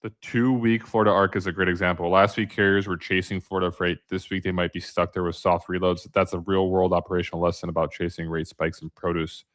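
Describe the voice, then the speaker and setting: A man's voice: low-pitched, level tone, quick pace, hardly any pauses, a bit bright, dry recording, clean studio sound. A man hosting a casual podcast chat, easygoing, reading posts aloud to his co-host. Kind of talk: casual freight market chat.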